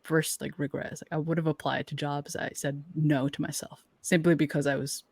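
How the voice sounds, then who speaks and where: Young guy on a podcast, casual n reflective, thinking out loud, really dry sound. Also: fairly high pitch, little pitch movement, a little fast, gravelly, no room sound. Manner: informal, reflective, thinking aloud. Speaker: podcast co-host. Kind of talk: casual conversational podcast talk.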